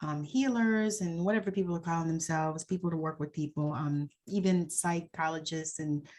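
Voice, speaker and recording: A woman's voice: deep voice, moderate pace, a little mellow. A woman hosting an interview over a video call, enthusiastic and affirming, laughing often as she talks. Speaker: interview host. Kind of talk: conversational interview.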